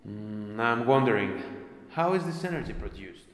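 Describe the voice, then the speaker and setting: A man's voice: lowish voice, lively intonation, moderate pace. A man playing a curious, easily amazed friend in a scripted educational film dialogue, asking questions and exclaiming. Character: curious, easily amazed male friend learning about energy. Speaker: character in a student educational film. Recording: echoey hall-like reverberant room.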